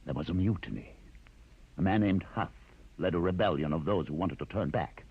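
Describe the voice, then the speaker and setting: An actor in a vintage radio drama playing a learned, commanding rebel leader with a measured, grandiose, theatrical delivery. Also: male voice, deep voice, husky. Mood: solemn, narrating. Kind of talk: 1950s radio drama acting. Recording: dull, muffled vintage radio recording.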